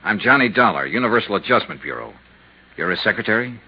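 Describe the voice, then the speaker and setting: An actor playing a cool, shrewd insurance investigator in a 1950s radio drama, terse, measured and matter-of-fact. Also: male voice, on the low side, lively intonation, slightly rough. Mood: cool, persuasive. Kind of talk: 1950s radio drama, hard-boiled narration and dialogue. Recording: muffled 1950s radio recording.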